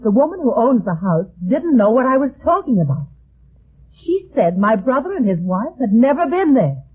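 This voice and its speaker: A woman's voice: fairly high pitch, sing-song, normal speed, husky. An actress playing an anxious, earnest young woman pleading for help in an old radio mystery. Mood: worried, earnest. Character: anxious, earnest young woman seeking her missing brother. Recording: tinny, narrow-band old radio recording.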